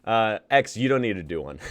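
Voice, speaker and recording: A man's voice: fairly low pitch, very animated, moderate pace, no room sound. A young man in his twenties hosting a live script reading, laughing easily and reading the stage directions. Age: 20s. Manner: laid-back, laughing, uses y'all. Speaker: host and playwright.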